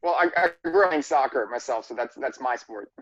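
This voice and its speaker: Lively, friendly man on dull lo-fi audio. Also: mid-range voice, a little fast, creaky, breathy edge. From Serbia. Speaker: company CEO. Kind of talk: interview answers.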